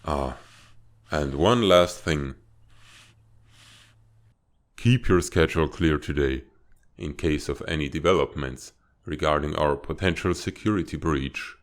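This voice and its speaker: A man voicing a stern reptilian spymaster in a comic dub, speaking in a formal, measured, authoritative way. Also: rumbling low. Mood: formal, businesslike. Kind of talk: dramatic fan-dub comic acting.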